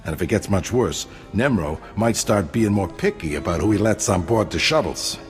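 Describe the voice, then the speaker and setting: An actor playing a weary, streetwise ex-gangster who talks colloquially in a video game cutscene. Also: male voice, on the low side, expressive, normal speed, faint music behind, close to an everyday voice. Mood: relieved, warning. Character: weary ex-gangster man, cautious streetwise older brother.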